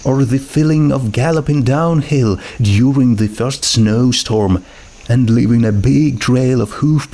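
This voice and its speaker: A man's voice: medium pitch, darkish tone. A man voicing an earnest, homesick teenage girl who pleads hesitantly and wistfully in an amateur story reading.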